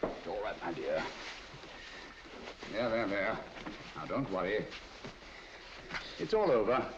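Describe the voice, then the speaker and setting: A commanding British detective speaks in clipped, theatrical phrases, decisive and sharp. The sound is that of a dull 1940s mystery film, with faint noise behind. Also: male voice, on the low side, sing-song, slow. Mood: reassuring.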